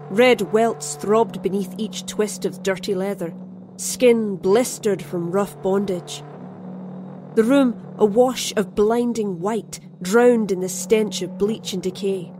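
A woman reading a scripted first-person horror story in a measured, dramatic, literary manner. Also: mid-range voice, a little mellow, faint music behind. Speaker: story narrator.

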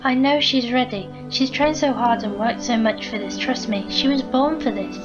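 A proud lioness mother in a cartoon fan dub played by a woman. She sounds confident and fairly high, on a dull, lo-fi recording with music behind her. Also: moderate pace, lightly acted. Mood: assured. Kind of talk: animated fan dub acting. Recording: dull lo-fi microphone.

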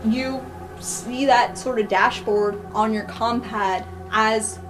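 A woman's voice: a little high, steady tone, slow, over music, rough recording. A woman running a tabletop roleplaying game as game master, narrating scenes on the fly and addressing players.

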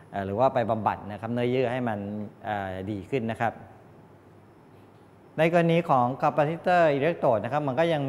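A middle-aged man lectures to a class in a mid-pitched voice. He explains step by step in a halting way, often adding polite tags. The room rings with long echo, and there is some background noise. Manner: halting, explanatory, with frequent polite tag particles. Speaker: college lecturer in medical electronics. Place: medium-sized indoor classroom with wooden desks. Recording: echoey classroom, distant pickup, background noise.

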